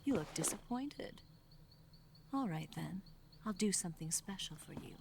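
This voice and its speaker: Low-voiced, cool female spy. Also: lively intonation, moderate pace, creaky, background noise. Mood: teasing, coy. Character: cool, teasing female spy, confident and guarded. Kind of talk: video game cutscene voice acting.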